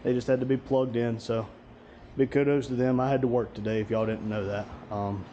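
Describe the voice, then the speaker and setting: A man's voice: mid-range voice, fairly even intonation, brisk, warm tone, muffled recording, a little hiss or hum. Drawling man in a garage. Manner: easygoing, drawling, folksy. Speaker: channel host. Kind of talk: casual walkthrough vlog. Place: large garage service bay. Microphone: action camera.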